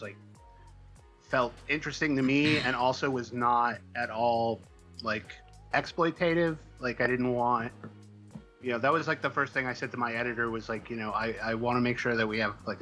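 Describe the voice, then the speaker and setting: A man speaking as a guest writer in a casual interview, answering in a hesitant, halting way with trailing asides. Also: medium pitch, sharp tone. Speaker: comic book writer, guest.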